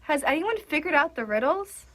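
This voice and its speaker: A woman's voice: sits high, animated, moderate pace, sharp tone. A woman acting an inquisitive young character in a staged radio mystery. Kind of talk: staged radio play dialogue.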